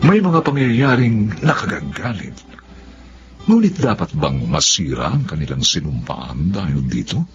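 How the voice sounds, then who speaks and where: A man's voice: sits low, sing-song, measured pace, slight background noise. A man hosting a radio drama with theatrical, solemn scripted narration. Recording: dull lo-fi broadcast with music. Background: clear music.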